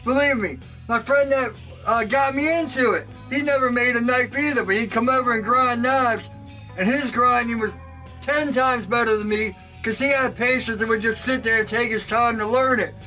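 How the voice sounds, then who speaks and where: A man with a very high, bright voice talks excitedly to his camera, projecting loudly and breaking into laughter. He's recorded from some distance in his workshop, with faint music underneath. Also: normal speed. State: sore throat. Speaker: knifemaker and channel host. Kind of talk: casual talk to camera. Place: home workshop.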